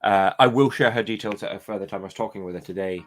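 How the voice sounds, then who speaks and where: A man with a low voice and a light Scottish accent, chatting casually to his viewers. He rambles, hesitates and restarts his sentences a lot. He has the easygoing tone of a hobby vlogger talking things over. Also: quick pace, a bit bright, a little hiss or hum. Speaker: toy and claw machine vlogger.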